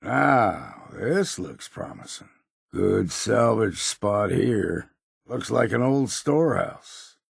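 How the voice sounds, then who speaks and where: Grizzled old hunter, American accent, heavy gravel, husky, blunt and folksy, wry, video game character. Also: male voice, on the low side, very animated, slow pace, dry recording, clean studio sound, heavily acted. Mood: dry, musing. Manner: folksy, plain-spoken, blunt. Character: grizzled old wasteland hunter, gruff, wry, world-weary.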